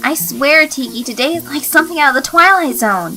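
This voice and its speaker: A woman's voice: sits high, expressive, fairly quick, pushed and bright, faint music behind, voice pushed up, exaggerated voice, rough recording. A woman voicing an earnest, self-doubting teenage schoolgirl with a dramatic, flustered manner in a story reading. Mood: exasperated.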